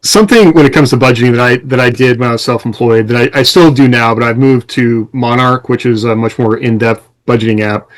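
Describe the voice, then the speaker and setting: A man's voice: mid-range voice, fairly quick, hardly any pauses, rough recording. A man talking casually as a podcast co-host, explaining things in a rambling way. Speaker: podcast co-host and self-employed writer.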